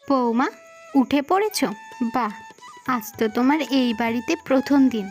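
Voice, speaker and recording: Woman playing a polite, high-voiced cartoon mother-in-law. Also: expressive, moderate pace. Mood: gentle, welcoming. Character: polite older mother-in-law, gently coaxing. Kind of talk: Bengali moral-tale cartoon voice acting. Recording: music behind the voice.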